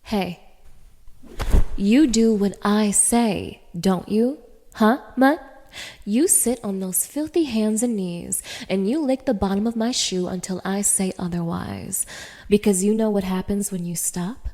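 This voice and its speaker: A woman's voice: mid-range voice, a little slow, mellow. A woman playing a cruel, haughty young dominatrix in an audio roleplay, speaking straight to the listener with taunting, commanding contempt. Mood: mocking, domineering. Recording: reverberant, echoing room.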